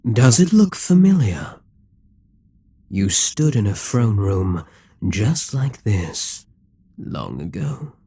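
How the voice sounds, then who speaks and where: A smug, dominant Demon King, acted by a man, speaks with a husky, breathy voice in a very dry, close-sounding ASMR roleplay. He takes his time, taunting and persuading with slow, deliberate menace. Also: lowish voice, big pitch swings, dark tone, no room sound, full character voice. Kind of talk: dramatic ASMR roleplay monologue.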